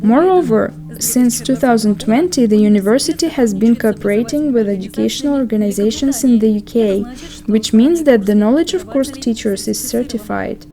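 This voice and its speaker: A woman narrates a promotional script in a calm, measured and formal manner. Her voice sits a little high but sounds soft and mellow rather than bright. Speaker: voice-over narrator.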